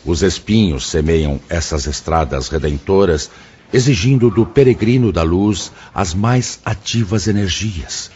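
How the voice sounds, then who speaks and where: A Brazilian actor playing a solemn, kindly spirit mentor in a radio drama, speaking in a measured, formal, sermon-like way. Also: male voice, low voice, darkish tone, slight background noise. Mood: solemn, exhorting. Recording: dull lo-fi audio with background music.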